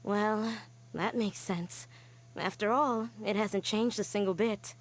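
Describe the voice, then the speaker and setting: A woman voicing an earnest young woman scholar in a game, telling her story quietly and sighing often. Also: mid-range voice, slow, slight background noise. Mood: wistful. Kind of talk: game cutscene voice acting.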